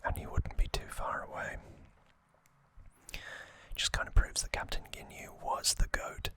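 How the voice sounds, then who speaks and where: Slow whispering man, ASMR. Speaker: ASMR host. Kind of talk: whispered ASMR trivia recap, casual.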